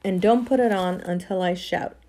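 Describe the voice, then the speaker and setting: A woman's voice: lowish voice, moderate pace, dark tone, close to an everyday voice. A woman voicing a brave, practical young boy in an audiobook, plain-spoken and urgent. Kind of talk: audiobook character acting.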